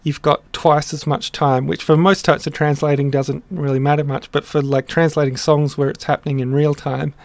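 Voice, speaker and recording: A man's voice: a little high, flat delivery, gravelly, dry recording. Thoughtful man explaining a translation. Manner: thoughtful, halting, many restarts and fillers. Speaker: song translator explaining lyrics. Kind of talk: casual explanatory lesson. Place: small room indoors.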